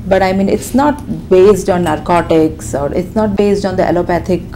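A woman in her thirties from India with a Gujarati accent, answering questions in a deposition in a conference room, soft-spoken and hesitant. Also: lowish voice, moderate pace, slight background noise. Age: 30s. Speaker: deposition witness, physician assistant. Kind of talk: answering questions under oath.

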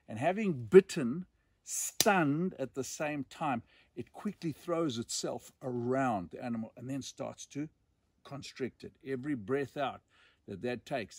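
A man in his sixties with a Zimbabwean accent tells stories emphatically, pausing and repeating words for dramatic effect. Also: medium pitch, breathy edge, no echo. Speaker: storyteller and host. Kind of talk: animated outdoor storytelling. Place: outdoors in dense bush.

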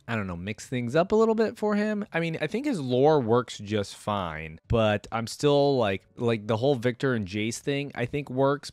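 A man's voice: medium pitch, no room sound. A young man giving casual, rambling reaction commentary as host, close to a desk microphone. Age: young adult. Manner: rambling, informal. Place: indoor room at a desk. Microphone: close desk microphone, headset worn.

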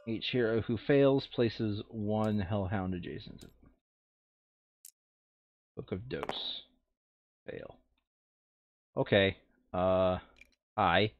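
A man with a lowish voice talks casually through a tabletop game, thinking aloud as he counts and works out rules. The audio is a little dull and dry, like voice chat. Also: slow pace, long pauses, no room sound. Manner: mutters counts and rules to himself. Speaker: board game player.

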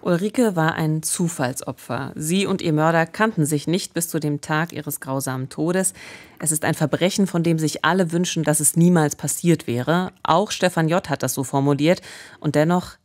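Woman in her 40s with a low voice telling crime stories on a podcast, close studio mic. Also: normal speed, darkish tone. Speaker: podcast host. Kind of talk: true-crime podcast narration. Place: small radio studio. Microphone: close studio microphone with pop filter.

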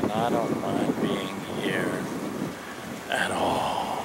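Man in his sixties, casual vlog narration, slow halting phrases, handheld camera outdoors, very noisy railway surroundings. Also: on the low side, steady tone. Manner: halting, speaking in short phrases. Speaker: train travel vlogger. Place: outdoors at railway station and train yard. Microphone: handheld camera at arm's length. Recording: noisy outdoor camcorder with traffic and trains.

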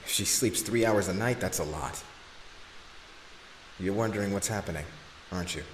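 A man acting a loyal, low-voiced male employee, roomy echo. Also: normal speed, close to an everyday voice. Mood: earnest, confiding. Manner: earnest, respectful. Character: loyal, deferential, anxious male right-hand employee. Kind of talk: audio roleplay acting. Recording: roomy echo, faint background noise.

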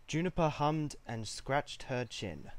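A man's voice: on the high side, expressive, slow pace, smooth, dry recording. A man reading scripted story narration as the narrator of a fan-fiction tale.

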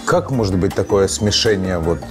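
A male travel show host in his thirties asks a guest questions in a curious, casual way. His voice sits fairly low. Clear background music runs under the conversation. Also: animated, normal speed. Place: cozy indoor room full of wall clocks, seated at a table.

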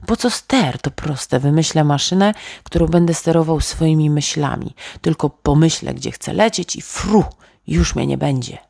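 A woman voicing a dreamy, excited little boy inventor in a children's bedtime story, musing aloud eagerly. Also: low voice, normal speed, gravelly, no room sound, studio quality.

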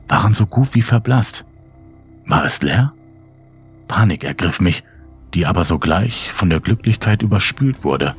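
A man with a husky, breathy voice reads a scripted horror story in a measured, suspenseful way with deliberate pauses. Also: deep voice. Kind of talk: dramatic scripted audiobook narration.

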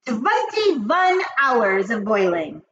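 A woman voicing the cruel, boastful leader of witches in a storybook, with a commanding, grandiose and drawn-out delivery. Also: fairly high pitch, sing-song, a bit bright, highs cut off, a little hiss or hum, full character voice. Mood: boastful, instructing. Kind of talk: storybook character voice.

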